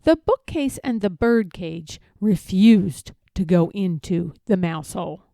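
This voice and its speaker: Woman storyteller, high mellow voice, very animated intonation, measured emphatic read-aloud for children. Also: creaky, no room sound, clean studio sound. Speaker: storybook reader.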